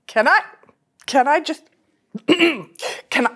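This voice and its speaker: A woman playing a cocky, teasing young starship officer in a sci-fi cartoon table read, casual and excitable. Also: sits high, slow pace, heavily acted.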